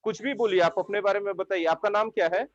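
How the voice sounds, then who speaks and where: High-voiced male teacher, online class. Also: fast, no room sound. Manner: repeats his own questions and keeps asking the class to answer yes or no. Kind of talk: online English vocabulary lesson taught in Hindi. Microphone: online class microphone. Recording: dull, dry online lecture audio.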